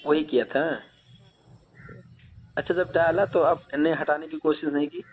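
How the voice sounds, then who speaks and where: A man's voice: on the high side, steady tone, measured pace, husky. A man asking probing, insistent questions in a casual private phone conversation. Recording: dull lo-fi phone recording.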